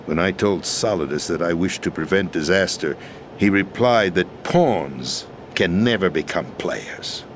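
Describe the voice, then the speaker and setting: An actor playing a weary, formal deposed president and schemer in a video game, explaining things in a measured, deliberate way. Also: male voice, deep voice, expressive, noisy background. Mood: grave, measured. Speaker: video game character in a cutscene. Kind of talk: dramatic expository video game acting.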